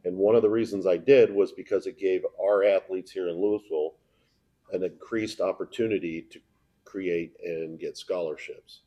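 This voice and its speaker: An American man, a local broadcaster, talking informally and answering questions in a plainspoken, halting way. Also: low voice, fairly even intonation, mellow, muffled recording. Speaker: local sports broadcaster and video producer.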